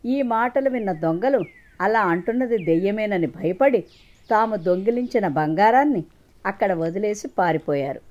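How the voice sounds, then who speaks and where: A female storyteller narrates a children's moral tale from a prepared script. Her voice sits at an average pitch for a woman, and she delivers the story as scripted narration. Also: a little mellow.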